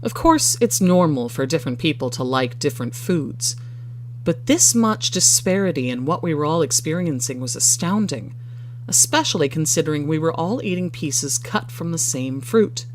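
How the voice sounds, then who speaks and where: A storyteller reading a first-person short story in a measured, expressive way. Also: mid-range voice, slight background noise.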